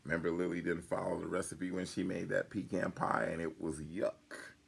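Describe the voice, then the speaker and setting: An older man, a grandfather, reading a children's story aloud in a gravelly, husky voice and pausing to explain things and ask young listeners questions. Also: sits low, level tone.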